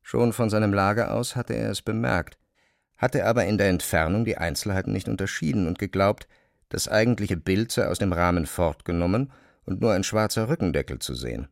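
A man's voice: on the low side, a little fast, creaky, no echo, clean studio sound. A man narrating dramatised classic literature as a scripted storyteller.

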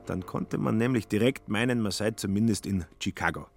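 A man's voice: fairly low pitch, a little fast, gravelly, soft background music. A man narrating a literary radio play with dry, ironic storytelling.

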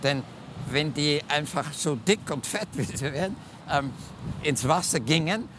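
Ein Mann erklärt als Experte in einem Interview im Freien und schmunzelt dabei. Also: male voice, a little high, lively intonation, measured pace. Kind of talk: explaining in an outdoor interview. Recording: outdoor interview with clear traffic-like noise.

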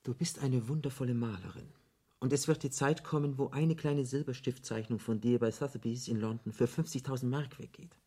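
An actor playing an eloquent devil in a radio drama, arguing in emphatic, elaborate rhetoric as a persuasive tempter. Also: male voice, medium pitch, fairly even intonation, fairly quick, dark tone, slightly rough, lightly acted. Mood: silky, insistent.

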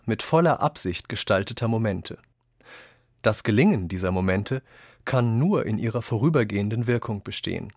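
A man reads literary quotations for a radio essay, with deliberate, declamatory phrasing. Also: mid-range voice, dry recording, studio quality. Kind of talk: scripted literary reading.

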